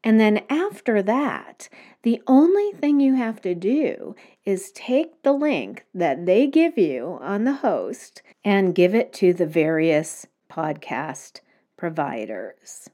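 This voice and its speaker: A woman's voice: mid-range voice, sing-song, takes it slowly, dark tone. A woman hosting her own podcast, explaining things step by step in a casual solo talk. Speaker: podcast host. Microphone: home microphone.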